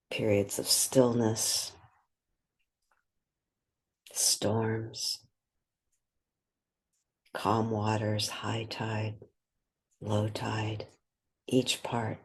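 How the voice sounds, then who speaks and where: A woman leading a guided meditation, speaking gently and unhurriedly, with long pauses between short phrases. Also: rumbling low, slightly rough.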